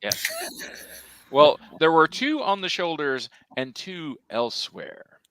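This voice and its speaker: A man's voice: on the high side, very animated, slow, a bit bright, clear, resonant tone, no room sound. A man on a panel, chatting casually and joking along with the others.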